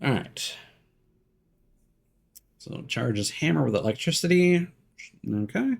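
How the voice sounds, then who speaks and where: A man's voice: medium pitch, lively intonation, slow, vocal fry, a little mellow. A man streaming a video game, giving casual live commentary and thinking aloud as he plays.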